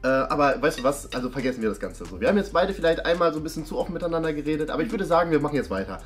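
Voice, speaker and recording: A man's voice: a little high, big pitch swings, racing. A young man in casual, improvised chat at a staged dinner. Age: young adult. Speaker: participant in a staged date. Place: indoor studio set at a dinner table. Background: music.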